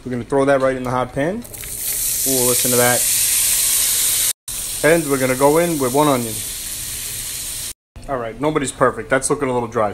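A man's voice: mid-range voice, slight background noise. A young man casually narrating a home cooking video in his kitchen, joking and brash with streetwise slang. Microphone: phone camera held close.

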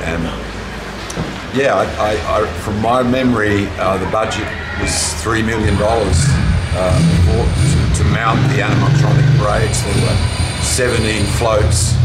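Low-voiced older Australian man, noisy recording. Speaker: interviewee, musician. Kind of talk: reminiscing interview talk. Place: outdoors at a street cafe wall. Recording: roomy, very noisy outdoor street ambience.

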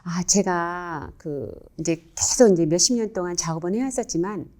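A woman's voice: lowish voice, moderate pace, dark tone. A middle-aged or older woman, a painter, answering interview questions in an earnest, polite and reflective way.

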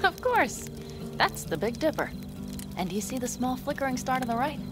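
An actress playing a fiery, strong-willed young nomad woman in a video game, with expressive, emphatic delivery. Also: high voice, normal speed, over music. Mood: soft, playful. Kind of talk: cinematic video game voice acting.

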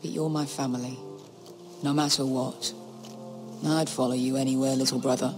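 An actor playing a tough, loyal mercenary in a fantasy game, blunt, weary and protective. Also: male voice, mid-range voice, level tone, normal speed, music in the background, natural voice. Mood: resigned, loyal. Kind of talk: dramatic fantasy game acting.

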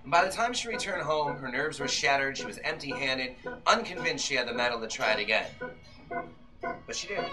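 A man's voice: mid-range voice, low-quality recording. Man reading fiction dramatically over music. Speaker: author reading from his own novel. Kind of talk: dramatic live fiction reading, like old-time radio play. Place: small bookshop with seated audience, indoors. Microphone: audience member's camera from a few metres. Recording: music behind, live room camera recording. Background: violin accompaniment.

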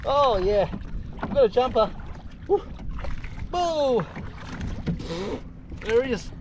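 A man in his thirties with an Australian accent, giving excited commentary while fishing from a kayak, filmed by a camera on the boat. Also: extremely high pitch, takes it slowly, muffled recording. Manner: enthusiastic, exclaims often. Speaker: fishing vlogger. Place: kayak on open water outdoors. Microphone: action camera on the boat. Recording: loud wind and water noise. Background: water and wind.

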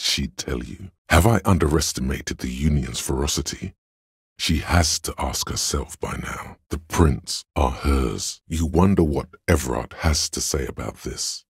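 An extremely low, husky voice of pure logic speaks inside the player's head in a video game. It is gravelly and very dryly recorded, with no room around it. The delivery is deliberate, analytical and condescending. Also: male voice, dry recording, heavily acted. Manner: measured, deliberate, dry, superior. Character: inner voice of cold logic, a man's analytical, condescending mind.

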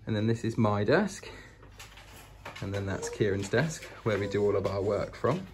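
Low-voiced British man giving a house tour. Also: expressive, moderate pace. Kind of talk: casual walk-through narration. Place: inside an old house, walking between rooms. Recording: noisy indoor handheld home recording.

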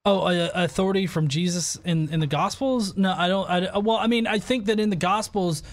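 High-pitched man debating haltingly. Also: steady tone. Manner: halting, repeats words as he argues. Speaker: host of an atheist debate show. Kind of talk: casual debate over a video call. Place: small furnished room at home, video call.

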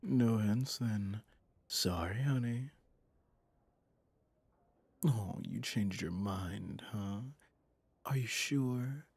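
A man playing a flirtatious, possessive mafia boss in an intimate ASMR roleplay, teasing and menacingly smooth, with a husky, breathy delivery. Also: a little mellow, no echo, exaggerated voice. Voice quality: low, husky, often dropping to a whisper. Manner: slow, teasing, intimate, with pet names. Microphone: close studio microphone, dry.

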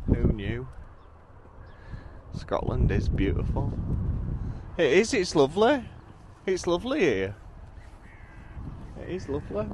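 A Yorkshire man chatting casually and playfully as a travel vlogger. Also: a little high. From Yorkshire, England. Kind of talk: casual vlog chat and voice-over. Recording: noisy handheld travel-vlog recording.

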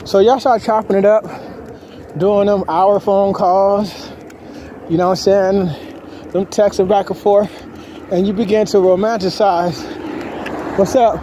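Man, high-pitched voice, colloquial vlog monologue, repetitive phrasing, clear street noise, handheld phone recording. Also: unhurried, pauses often, mellow. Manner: colloquial, slangy, repeats himself, acts out quotes. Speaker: vlogger. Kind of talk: casual walk-and-talk monologue, giving relationship advice. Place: outdoors on a street while walking dogs. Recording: noisy outdoor phone recording.

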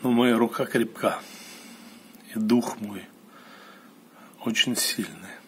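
A man giving a personal monologue, recounting an experience solemnly in short, halting phrases. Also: on the low side. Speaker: storyteller recounting a mystical experience. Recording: clear steady background noise.

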